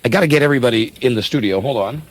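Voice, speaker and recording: A man's voice: mid-range voice, rapid-fire, very noisy. A man hosting a radio show with casual, playfully theatrical live banter.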